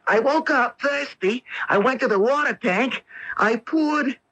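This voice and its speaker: A man's voice: very high-pitched, unhurried, bright, forceful tone, full character voice, poor audio. An actor playing a horrified, shaken soldier reporting to his captain in a radio drama. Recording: dated radio drama broadcast.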